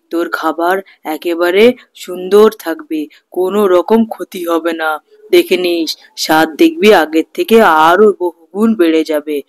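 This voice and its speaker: A woman's voice: fairly low pitch, darkish tone, no echo, voice pushed down, lightly acted. A woman voicing a kindly, solemn male forest sage in a children's cartoon, giving fatherly advice in repeated phrases. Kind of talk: children's cartoon fairy tale.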